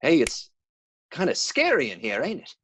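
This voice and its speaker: An actor playing a folksy, uneasy police underling in an old-time radio drama, colloquial and a little hesitant. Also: male voice, fairly high pitch, huge pitch swings, moderate pace, a bit bright, breathy edge, heavily acted. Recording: noisy, clicky livestream connection.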